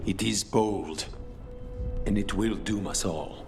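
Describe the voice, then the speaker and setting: An actor playing a stern, fatalistic assassin leader in a video game, speaking gravely and deliberately. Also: male voice, low voice, music in the background. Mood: grim. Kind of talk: dramatic video game acting.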